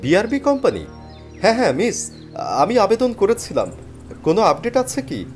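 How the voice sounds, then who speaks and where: A man playing a weary, penniless job seeker who tells his story in expressive, plaintive dramatic narration. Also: high-pitched, moderate pace. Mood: eager, excited. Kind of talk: first-person dramatic story narration and character dialogue. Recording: music behind the voice. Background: background music.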